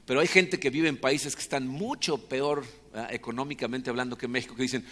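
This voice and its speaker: A man's voice: medium pitch, steady tone, a little fast, pushed and bright, big-room sound. A Mexican man with a Mexican Spanish accent, preaching a sermon as a pastor in a conversational way, often checking in with the congregation. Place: church hall.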